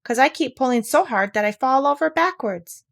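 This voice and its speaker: A woman's voice: high voice, lively intonation, normal speed, gravelly, voice pushed up. A woman voicing a cheerful, simple-minded male teddy bear who talks in an eager, singsong way.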